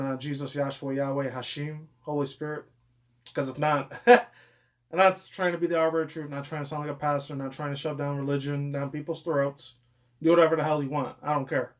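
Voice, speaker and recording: A young man with a slightly high voice delivers casual news commentary. He rambles from point to point in loose slang, with plenty of profanity. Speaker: commentary vlogger.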